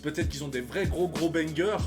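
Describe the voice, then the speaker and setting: A man's voice: on the high side, fairly quick, over music. Slangy hesitant man in his thirties. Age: 30s. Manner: slangy, hesitant, many sighs and fillers. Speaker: reaction channel host. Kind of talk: casual reaction commentary.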